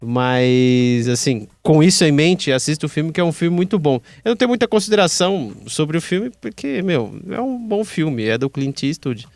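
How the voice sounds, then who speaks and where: A man's voice: a little high, lively intonation, normal speed, hardly any pauses, a little mellow, no room sound, soft background music. Brazilian man, casual podcast host, close dry sound. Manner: informal, slangy, swearing. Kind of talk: casual chat.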